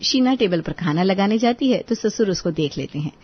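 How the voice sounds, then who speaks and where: A woman's voice: mid-range voice, lively intonation, a little fast, breathy edge. A woman storyteller delivering scripted narration for a Hindi moral story. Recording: dull, lo-fi audio.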